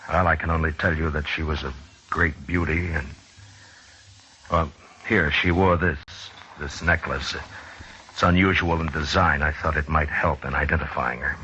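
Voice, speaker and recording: Weary, understated frontier lawman, low and measured, vintage radio drama on a dull recording. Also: fairly even intonation, pushed and bright, slight background noise. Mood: somber, earnest. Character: steady, weary frontier lawman narrating his western tale. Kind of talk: 1950s radio drama acting.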